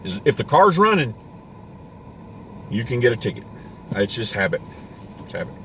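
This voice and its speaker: A man's voice: mid-range voice, very animated, normal speed, noisy background. A man hosting a homesteading live stream from inside a parked car, rambling in a casual rant with a worn voice. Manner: ranting, rambling with restarts. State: voice getting worn.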